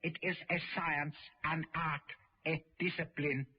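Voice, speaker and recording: An actor playing a stern, solemn karate master in a radio drama, formal, deliberate and severe. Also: male voice, a little high, flat delivery, husky. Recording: dull old radio recording.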